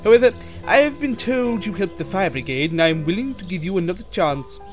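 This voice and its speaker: A man's voice: sits high, expressive, brisk, faint music behind, voice pushed up. Man as a stern railway boss. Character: stern, authoritative male railway controller, fair but firm.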